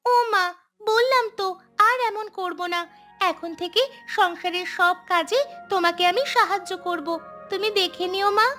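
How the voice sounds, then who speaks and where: Squeaky, pleading little cartoon child. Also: female voice, normal speed, sharp tone, soft background music. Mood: placating, eager. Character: small whiny mischievous village child pleading with mother. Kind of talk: cartoon voice acting.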